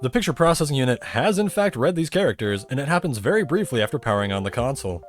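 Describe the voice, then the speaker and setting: Man narrating a technical explainer, animated intonation. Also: medium pitch, fast. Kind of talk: scripted explainer narration with casual asides.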